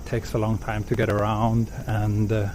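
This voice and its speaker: A Swedish man hosting a live walking stream outdoors, reading viewer comments and answering them in a soft-spoken, hesitant way with many fillers. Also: lowish voice, monotone, normal speed. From Sweden. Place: outdoors on quiet city streets, walking. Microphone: handheld gimbal camera with small recorder. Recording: street and traffic noise behind.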